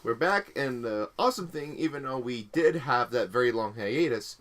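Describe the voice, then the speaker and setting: A man's voice: mid-range voice, lively intonation, normal speed, fairly bright tone. A young man hosting a casual podcast, rambling through stories and laughing often. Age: young adult. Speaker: podcast host and editor. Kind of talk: casual chat.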